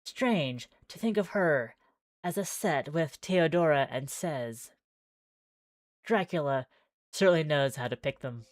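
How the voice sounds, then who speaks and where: A woman's voice: sits low, lively intonation, measured pace, no room sound, studio quality. A woman streaming a visual novel, reading the game text aloud with theatrical flair and chatty asides.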